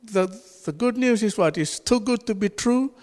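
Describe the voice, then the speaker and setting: A man with a Fijian accent, a native Fijian speaker, preaching an informal sermon in an animated way and laughing often. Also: high-pitched, normal speed. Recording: reverberant hall.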